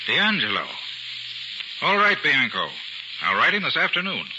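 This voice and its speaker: An actor playing a brisk, authoritative middle-aged prison warden in a 1940s radio drama. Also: male voice, a little high, sing-song, voice pushed up. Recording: dull, noisy old radio broadcast.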